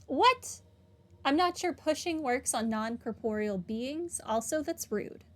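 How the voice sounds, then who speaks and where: A woman's voice: high-pitched, animated, a little slow, a bit bright, a little hiss or hum. A woman streaming a game playthrough, reacting aloud with wry, casual commentary. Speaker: gaming streamer. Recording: game music behind the voice.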